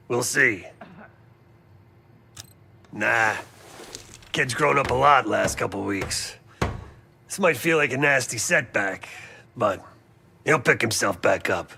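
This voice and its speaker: An actor playing a blunt, wry American covert operative in a video game drama, talking casually and loyally. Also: male voice, mid-range voice, creaky, sharp tone, breathy edge, full character voice. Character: gruff, streetwise, loyal covert operative, adult man. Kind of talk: video game cutscene acting.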